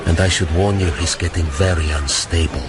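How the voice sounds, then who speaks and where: An actor playing a calculating artificial intelligence in a barman's guise, measured and formal, in a radio drama. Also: low-pitched, close to an everyday voice. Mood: knowing, measured. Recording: music behind the voice.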